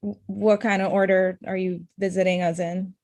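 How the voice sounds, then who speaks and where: A woman's voice: medium pitch, little pitch movement, moderate pace, a little mellow, dry recording, lightly acted. A young woman acting a blunt, hurt, confrontational ex-girlfriend in her thirties in a comedy-drama table read. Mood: blunt, cold. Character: Amita, blunt, hurt ex-girlfriend, thirty-five, sharp-tongued lawyer.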